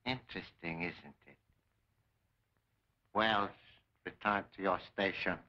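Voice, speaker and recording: An actor playing a suave, menacing Japanese mastermind in a 1940s film serial, speaking formally with cold, pompous courtesy. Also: male voice, sits low, fairly even intonation, slow pace. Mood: coldly commanding. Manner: formal, grandiose, coolly courteous. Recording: dull 1940s film serial soundtrack, music.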